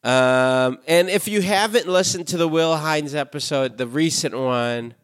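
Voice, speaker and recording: A man's voice: fairly high pitch, unhurried, clear, resonant tone, no echo, clean studio sound. A man co-hosting a podcast remotely from a hotel room, talking casually and rambling with lots of fillers. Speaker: podcast co-host. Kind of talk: casual chat. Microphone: remote call from a hotel room.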